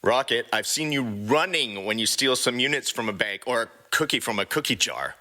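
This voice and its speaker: An exasperated space outlaw leader, played by a man in a mid-range, bright voice. He is sarcastic and fed up, in the manner of a comic audio drama. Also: medium pitch, expressive, moderate pace.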